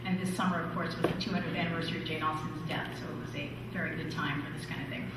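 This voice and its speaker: A woman's voice: deep voice, steady tone, rapid-fire. A middle-aged woman speaking as an author about her subject to the public. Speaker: author giving a book talk. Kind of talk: public talk and interview. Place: large library meeting hall. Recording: roomy hall, slightly distant, audience noise. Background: audience chatter.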